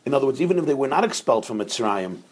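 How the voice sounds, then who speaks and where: A rabbi teaching a class on a religious text, earnest and emphatic, who repeats phrases for stress and sprinkles in Hebrew and Yiddish terms. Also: male voice, mid-range voice, animated, racing, a little mellow, breathy edge. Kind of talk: explaining a religious text to students.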